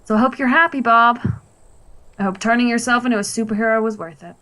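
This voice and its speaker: A woman playing an exasperated, sharp-tongued ex-wife and worried mother in a radio play. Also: fairly high pitch, quick pace. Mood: exasperated, bitter.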